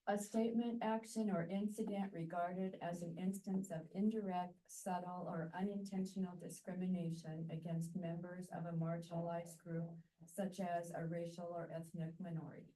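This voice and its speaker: A woman trainee reading aloud in a steady, even way. Her voice is lowish, flat and mellow, and she sounds distant from the mic. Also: moderate pace, few pauses.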